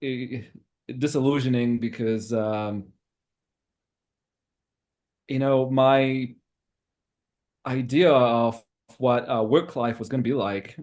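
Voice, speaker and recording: A man from Zimbabwe in his thirties, a podcast guest who talks in a hesitant, reflective way with many pauses. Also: mid-range voice, a little mellow. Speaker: interview guest. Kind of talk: casual interview talk.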